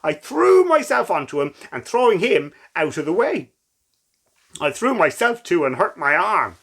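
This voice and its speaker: A man's voice: sits high, sing-song, moderate pace, vocal fry, fairly bright tone, full character voice. A man playing a brave, affectionate young woman who is blind, in a dramatic, tender storybook reading. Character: brave, affectionate blind girl, college student.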